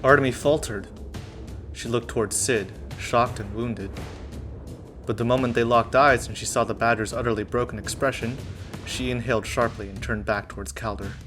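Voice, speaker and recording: A man's voice: medium pitch, steady tone, normal speed, over music. A man reading scripted story narration from a visual novel in a dramatic style.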